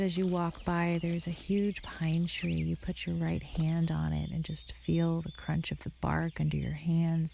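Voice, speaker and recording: A woman narrating a guided meditation in a soothing, unhurried manner, with pauses between phrases. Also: low voice, creaky, mellow. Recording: constant water noise behind voice. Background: babbling stream ambience.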